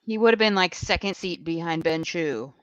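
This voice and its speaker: A woman's voice: lowish voice, normal speed, dry recording, lo-fi sound. A woman co-hosting a podcast, mostly chiming in with brief agreeing remarks in casual chat. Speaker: podcast co-host.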